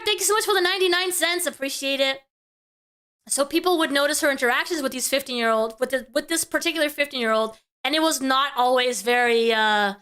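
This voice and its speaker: A woman's voice: high-pitched, sharp tone. A woman giving casual live reaction commentary as a streamer, emphatic and exasperated in her opinions.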